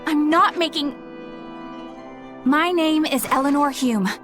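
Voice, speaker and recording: A woman's voice: sits high, takes it slowly, a bit bright, soft background music. An actress playing a formal, earnest young female exorcist in a game.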